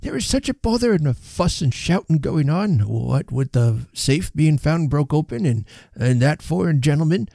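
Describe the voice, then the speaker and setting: A man voicing a frightened, toothless old rustic thief, croaky and breathless, whimpering as in a storybook. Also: a little high, animated, normal speed, hardly any pauses, mellow, dry recording, studio quality, voice pushed up. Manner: whimpering, rustic uneducated speech.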